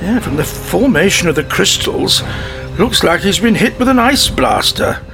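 Creaky, flippant eccentric traveller. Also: medium pitch, sing-song, moderate pace, music in the background. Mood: breezy. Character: flippant, breezy eccentric traveller at crime scene. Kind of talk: audio drama acting.

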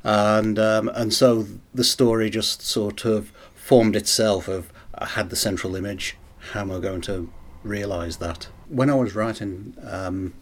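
A man speaking as a writer in an interview, thoughtful and hesitant, pausing as he explains his work. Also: lowish voice, steady tone. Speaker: short story writer. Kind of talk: interview talk about writing.

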